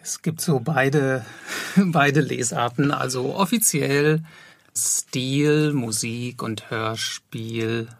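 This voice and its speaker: A man speaking as an interview guest, explaining his work in relaxed everyday conversation. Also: medium pitch, extremely slow, gravelly, dry recording. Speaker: interview guest, audio drama producer and composer.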